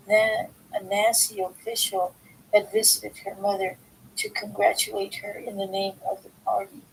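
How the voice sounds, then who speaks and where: Woman reading aloud haltingly, creaky voice. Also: mid-range voice, slight background noise, low-quality recording. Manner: halting, stumbles over words and corrects herself. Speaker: reader of a book aloud, video host. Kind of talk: informal read-aloud of a self-help philosophy book.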